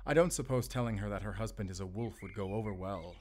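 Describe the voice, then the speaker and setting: A man's voice: on the low side, fast, darkish tone, close to an everyday voice. A man playing a wry, confident wolf hunter in an audio roleplay, talking in a teasing, conversational way to a companion. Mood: matter-of-fact. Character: wry, playful male German wolf hunter, confident, protective. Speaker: voice actor. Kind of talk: cinematic audio roleplay acting.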